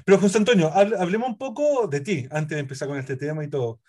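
A man with a Chilean accent, a priest hosting a relaxed faith talk show, chatty and prone to trailing off and restarting. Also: a little high, fast talker. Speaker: priest and host. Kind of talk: casual radio chat.

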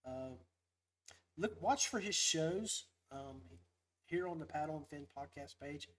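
A man's voice: fairly high pitch, lively intonation, unhurried, fairly bright tone. A man hosting a casual fishing livestream, talking in a rambling, folksy, upbeat way. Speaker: podcast host.

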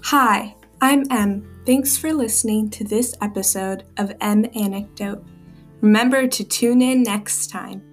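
A woman's voice: mid-range voice, measured pace, faint music behind. A woman speaking as a podcast host, reading scripted introductions and credits.

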